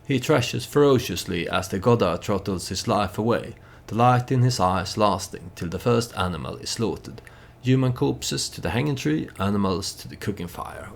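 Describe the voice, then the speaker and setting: A man hosts a storytelling let's play in a very low voice with plenty of vocal fry. He thinks aloud in a halting, hesitant way as he comments on the game. A faint layer of noise sits behind him.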